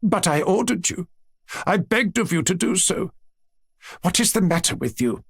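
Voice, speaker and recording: Man putting on a stern, high-pitched old grandmother. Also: expressive, fairly bright tone, husky, dry recording, clean studio sound, full character voice. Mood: anguished. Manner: slow, emphatic, imperious. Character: stern, wounded aristocratic old grandmother scolding a child.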